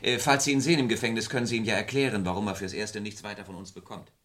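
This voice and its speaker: An actor playing a coolly businesslike male office boss in a German crime radio play, brisk and offhand. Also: fairly low pitch, fairly even intonation, fairly bright tone, natural voice.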